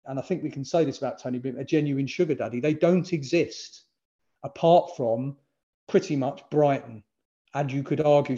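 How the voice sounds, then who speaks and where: A man's voice: fairly high pitch, dark tone, lo-fi sound. A man talking as a podcast guest in a casual conversation, emphatic and blunt, often restarting his sentences. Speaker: podcast guest, fan engagement consultant.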